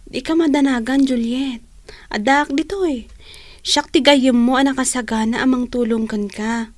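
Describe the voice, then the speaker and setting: A woman's voice: high voice, fairly even intonation, moderate pace, dry recording, clean studio sound. A woman acting a caring, generous young student and loyal friend in a radio drama. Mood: reassuring.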